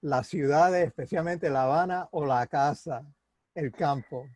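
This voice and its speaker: A man's voice: on the high side, a little slow, darkish tone, no room sound. A man interviewing a guest in a jovial, rambling way, asking long loose questions and laughing along. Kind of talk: casual interview chat.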